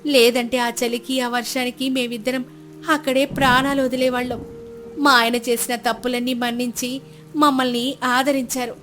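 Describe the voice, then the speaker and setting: A woman's voice: sits high, level tone, moderate pace, fairly bright tone. Woman acting a frail, grateful old village wife. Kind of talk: moral storybook character dialogue. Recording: music bed under the voice.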